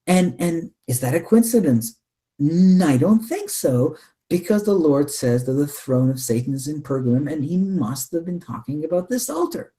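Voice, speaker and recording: A man's voice: on the high side, animated, moderate pace, warm tone. A man giving an extended explanatory talk as a guest, speaking emphatically, posing rhetorical questions and repeating phrases for weight. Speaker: interview guest, researcher.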